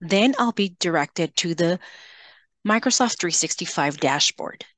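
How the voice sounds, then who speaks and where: A woman software trainer giving an instructional screen-share tutorial, explaining in a measured way and addressing learners directly. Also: lowish voice, expressive, no echo.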